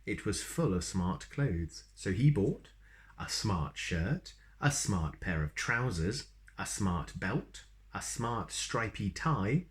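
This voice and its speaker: A man with a fairly low voice tells a children's story. He takes his time and swings his pitch widely, keeping the reading expressive and adding small asides. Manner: unhurried, animated. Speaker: storyteller reading a picture book.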